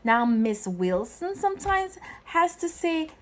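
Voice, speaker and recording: A woman reading a children's picture book aloud in an expressive way, phrasing deliberately and acting out the dialogue. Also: high voice. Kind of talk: expressive storybook read-aloud.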